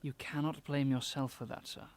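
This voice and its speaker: A man's voice: mid-range voice, flat delivery, normal speed, dry recording, natural voice. A man acting in a radio drama as a concerned laboratory assistant, formal, respectful and earnest. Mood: concerned, pleading.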